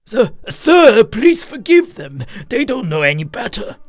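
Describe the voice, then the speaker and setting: A man's voice: sits high, huge pitch swings, measured pace, no room sound, voice pushed up, exaggerated voice. A man voicing a volatile alcoholic father in a dramatized story, raving and fawning. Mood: agitated, pleading.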